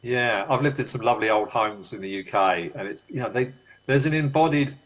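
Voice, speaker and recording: A man's voice: medium pitch, fairly quick, fairly bright tone. A man interviewing an architect, speaking conversationally and reflectively with some hesitations.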